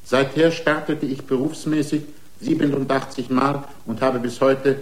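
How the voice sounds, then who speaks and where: An actor playing a proud, defiant racing driver on trial in a German radio drama, emphatic and combative. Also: male voice, medium pitch, steady tone, normal speed, lightly acted. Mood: boastful. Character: proud, defiant male racing-driver defendant, indignant braggart.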